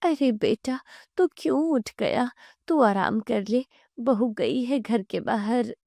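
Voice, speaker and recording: Woman as older mother-in-law, motherly, mildly chiding, cartoon story, unhurried, dry recording. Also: a little high, expressive, gravelly, dry recording, full character voice. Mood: caring. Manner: motherly, gently scolding.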